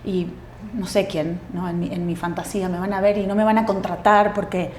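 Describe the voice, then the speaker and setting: A woman in her forties with a Uruguayan Spanish accent, a guest actress speaking thoughtfully in an interview, pausing often with fillers and restarts. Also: lowish voice, flat delivery, darkish tone, echoey room, slight background noise. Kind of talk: reflective interview conversation.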